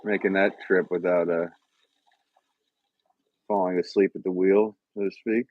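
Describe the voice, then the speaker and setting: Slow, rambling man on a live stream. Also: deep voice, flat delivery, no room sound, narrow, tinny audio. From Connecticut, USA. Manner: rambling, laughs often, many fillers. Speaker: podcast host. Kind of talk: casual live-stream chat.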